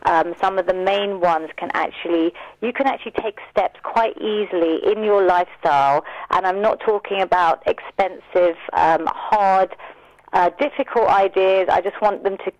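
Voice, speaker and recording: British woman, radio interview guest. Earnest, explanatory delivery, medium pitch, very dry sound. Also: normal speed, a little mellow, no room sound, lo-fi sound. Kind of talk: informative radio interview answers.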